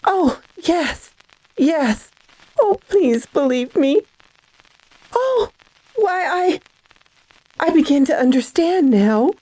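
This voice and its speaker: A woman acts a fragile, bewildered lady from a classic radio thriller. Her high voice is soft-toned and melodramatic, with hesitant, unhurried speech, recorded close and dry. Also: big pitch swings, dry recording, full character voice, rough recording. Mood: dazed, pleading. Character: frightened, bewildered, tormented lady, pleading and fragile. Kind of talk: classic radio drama acting.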